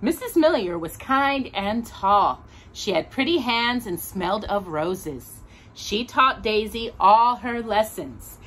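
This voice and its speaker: Animated woman reading a children's storybook. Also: mid-range voice, rough recording. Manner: expressive, with drawn-out words for a child listener. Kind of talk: children's picture book read aloud.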